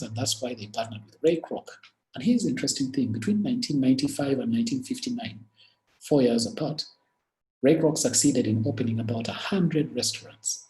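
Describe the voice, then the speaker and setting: A man's voice: mid-range voice, darkish tone. Ugandan man telling stories in an echoey room. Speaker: webinar speaker. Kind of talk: webinar talk.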